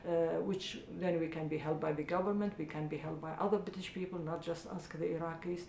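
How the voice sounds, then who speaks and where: A middle-aged woman from Iraq speaks in an earnest, halting way, her talk full of small hesitations. Her voice is low, mellow and gravelly. The room is echoey, and a faint rumble sits underneath. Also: steady tone. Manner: hesitant, many uh fillers, earnest. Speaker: charity founder. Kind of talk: interview answers.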